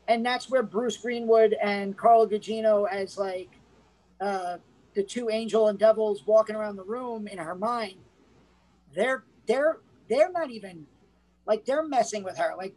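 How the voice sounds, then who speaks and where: A woman's voice: medium pitch, measured pace, a little mellow, poor audio. Chatty, enthusiastic woman reviewer. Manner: chatty and enthusiastic, thinking aloud. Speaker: podcast co-host. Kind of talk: casual movie review chat.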